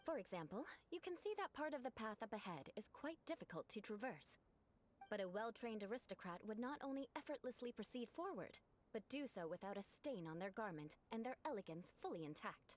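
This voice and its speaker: Woman acting as a proud aristocratic female knight, mid-pitched, formal, poised, haughty, anime game, faint noise. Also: very animated, fast talker, close to an everyday voice. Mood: instructive, poised.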